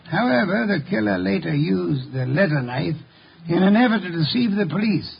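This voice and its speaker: A dull, faintly hissy 1950 radio recording of an eccentric master detective. He is imperious and unflappable, with a highish voice full of gravel, speaking in precise, ponderous, dryly sardonic phrases. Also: animated, normal speed, exaggerated voice. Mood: assured, accusing. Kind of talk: 1950s radio drama acting.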